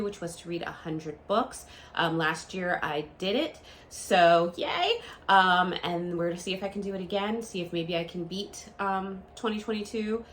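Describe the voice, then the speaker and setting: A woman's voice: lowish voice, a little hiss or hum. A woman in her late thirties hosting a book channel, talking casually to camera, a bit nasal and stuffed up from a cold, with lots of ums and restarts. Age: 30s. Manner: chatty, frequent ums, rambling restarts.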